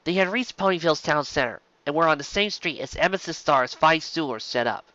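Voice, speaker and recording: An animated storyteller reads aloud in an expressive audiobook style, with pitch that rises and falls freely. The recording is close and dry, with a slightly dull, lo-fi tone. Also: a little fast, dry recording. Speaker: story narrator. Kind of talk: expressive fan fiction audiobook narration.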